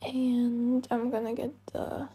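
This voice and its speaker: A woman doing intimate ASMR roleplay as a caring, affectionate girlfriend, speaking in a soft, breathy, soothing murmur that often slips into a whisper. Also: on the high side, little pitch movement, takes it slowly, no echo. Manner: soothing, hushed, tender, often slipping into whispers. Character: caring, affectionate girlfriend comforting her partner.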